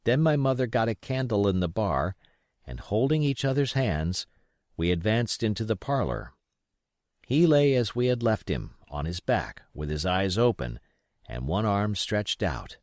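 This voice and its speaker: Male narrator, adventure novel. Fairly low pitch, highly varied delivery, dry recording. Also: very animated, measured pace, a little mellow, dry recording. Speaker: audiobook reader. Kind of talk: expressive scripted narration of a classic adventure novel.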